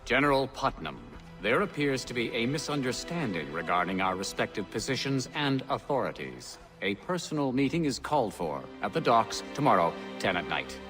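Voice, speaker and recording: A male actor plays an older, self-important game official. His delivery is theatrical and swings dramatically up and down in pitch. He sounds agitated and quick to take offence, with prominent music behind him. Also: big pitch swings, natural voice. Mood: formal, composed. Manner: theatrical, indignant, swooping delivery. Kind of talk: video game cutscene acting. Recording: loud background music.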